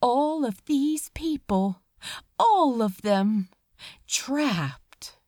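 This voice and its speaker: Female amateur audiobook reader, lively melodic delivery, unhurried dramatic pauses, dry close sound. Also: high-pitched, no room sound. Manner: measured, expressive storytelling with dramatic pauses. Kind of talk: dramatic scripted narration of fan fiction.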